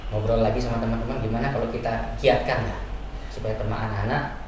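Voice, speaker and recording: A man's voice: medium pitch, fairly even intonation, quick pace. A man giving conversational, step-by-step explanations as a guest in a radio interview. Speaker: school principal, interview guest. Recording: very echoey, distant mic, noisy room.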